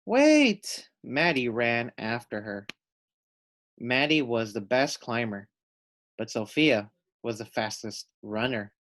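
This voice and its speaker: A man reading a children's picture book aloud with expressive, teacherly delivery and deliberate phrasing. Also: mid-range voice. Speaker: read-aloud storyteller.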